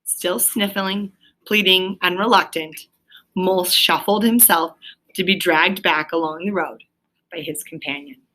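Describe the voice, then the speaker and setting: A woman's voice: lowish voice, a little slow, bright, forceful tone, rough recording. A woman reading a children's story aloud expressively, as if to a young listener. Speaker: storybook reader. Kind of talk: expressive read-aloud narration with asides to a child.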